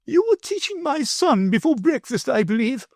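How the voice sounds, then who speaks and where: A storybook reader voicing a haughty, gushing grand lady in a high, lofty voice. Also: very animated, moderate pace, dry recording, clean studio sound, exaggerated voice.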